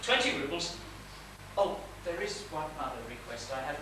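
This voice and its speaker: A man's voice: on the high side, lively intonation, normal speed, close to an everyday voice. A man playing a fussy but tender middle-aged father in a stage comedy, with courteous, florid, projected delivery. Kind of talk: live comedic stage play acting. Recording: echoey theatre stage, distant mic, noisy.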